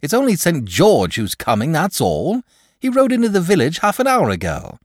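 An excitable young village boy from a storybook, put on by a man reading aloud. He pleads breathlessly in a high, swooping voice. The sound is dry and close. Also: moderate pace, dry recording. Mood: excited.

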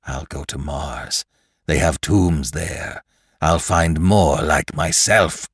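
Storybook villain, acted by a male reader: walking corpse, deep and slow, seething with murderous hate, very dry recording. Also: breathy edge, dry recording, full character voice. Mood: menacing. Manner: slow growled threats, breaking into screams. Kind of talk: dramatic storybook narration.

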